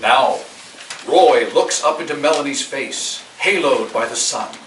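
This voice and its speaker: A man's voice: mid-range voice, measured pace. A man reading stage directions as narrator at a live screenplay table read. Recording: distant mic in noisy, echoey room.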